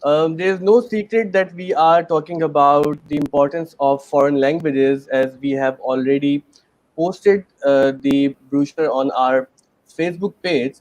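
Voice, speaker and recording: A man's voice: a little high, measured pace, darkish tone, no room sound, low-quality recording. A man with a Pakistani accent hosting a panel webinar in a chatty, earnest way, with many fillers. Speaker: webinar host.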